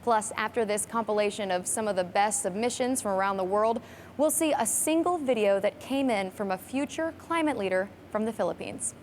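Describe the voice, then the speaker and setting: A woman's voice: mid-range voice, brisk. A woman hosting a program, presenting scripted segments to viewers. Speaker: program host. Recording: clear background noise.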